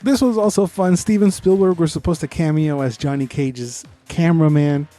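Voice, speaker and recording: A man's voice: a little high, normal speed, warm tone, soft background music. A man co-hosting a podcast, chatting casually about movies with slangy, enthusiastic fan talk. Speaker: podcast host. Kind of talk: casual movie review chat.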